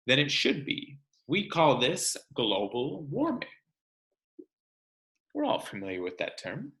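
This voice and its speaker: A man reading a book aloud to children like a teacher, expressive and instructional, pausing to explain. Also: medium pitch. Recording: roomy, echoing sound.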